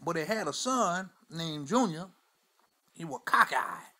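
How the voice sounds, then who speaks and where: A man's voice: fairly high pitch, animated, a little slow, bright, forceful tone, heavily acted. A man playing an older, crude storyteller who speaks in a loose, profane, rambling drawl and keeps asking "you know" and "see?". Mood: wry. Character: crude old Southern man spinning tall tales. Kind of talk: stand-up character monologue.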